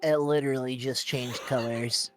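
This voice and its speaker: Mid-pitched man in gaming banter. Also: steady tone, moderate pace, no room sound, faint music behind. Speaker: gamer in a live fan dub.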